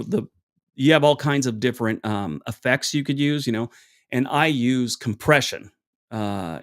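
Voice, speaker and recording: A man with a deep voice hosts a casual livestream from a room at home. He rambles warmly through viewer questions, restarting sentences and adding fillers as he goes. He talks into a small wireless clip mic at a medium pitch. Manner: acts out both sides of an anecdote with raised pitch. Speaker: livestream host answering chat questions.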